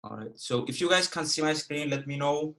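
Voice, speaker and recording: A man's voice: fairly high pitch, expressive, fairly quick, gravelly, pushed and bright. A man in his twenties with a Greek Cypriot accent, a native Greek speaker, teaching a live online trading lesson in a casual, direct way and checking in with "okay" and "all right". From Cyprus. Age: 20s. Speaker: guest trading educator.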